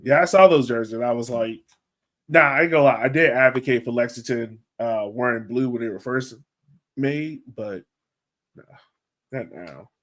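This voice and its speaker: A man's voice: medium pitch, moderate pace, a bit bright. A man speaking in an informal, colloquial way as he co-hosts a casual sports podcast. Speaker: podcast co-host.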